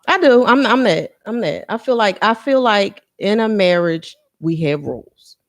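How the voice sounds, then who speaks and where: A woman's voice: medium pitch, expressive, moderate pace. A woman in her thirties, an interview guest talking candidly and reflectively about her life, often restarting her sentences. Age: 30s. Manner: earnest and reflective, with frequent restarts and repeats. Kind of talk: candid personal conversation.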